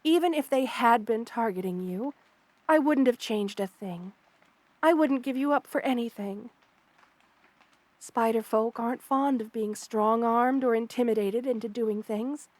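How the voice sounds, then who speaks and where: A woman plays a motherly spider queen, a monster woman with a tender, protective way about her. Her voice sits fairly high and sounds close and dry. She speaks soothingly and a little formally, pausing hesitantly, as in an intimate ASMR roleplay. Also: no room sound, close to an everyday voice. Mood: firm reassurance. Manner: gentle, soothing, hesitant pauses, formal wording. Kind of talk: ASMR roleplay, intimate one-sided dialogue to the listener.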